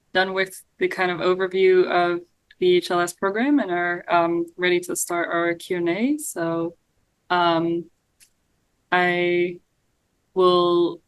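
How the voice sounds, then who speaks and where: A woman's voice: on the low side, dry recording, rough recording. A woman moderating a webinar Q&A, introducing guests with frequent hesitations and fillers. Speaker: program staff moderator.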